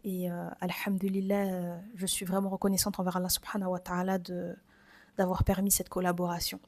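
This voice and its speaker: A woman's voice: lowish voice, monotone, brisk, a little mellow. A woman in her twenties with a French accent from France, hosting a personal, reflective podcast in an informal, confiding, conversational way. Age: 20s. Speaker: podcast host.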